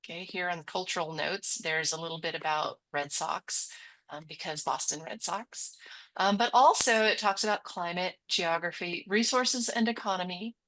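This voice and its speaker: A woman's voice: fairly low pitch, moderate pace, a bit bright, rough recording. A woman co-hosting a library webinar, walking through a website in a chatty, upbeat way with frequent giggles. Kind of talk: casual screen-share demo chat.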